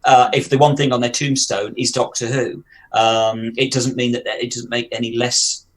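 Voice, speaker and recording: A man's voice: medium pitch, level tone, normal speed. A British man co-hosting a fan podcast, talking casually and enthusiastically, often repeating words as he goes. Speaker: podcast co-host.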